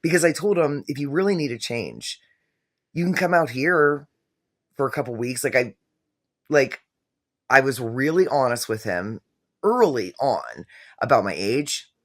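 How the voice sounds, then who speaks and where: A middle-aged woman with a very low voice tells a personal story to camera in a casual, rambling way, full of fillers and self-corrections. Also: expressive, measured pace, pauses often. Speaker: vlogger telling her own story.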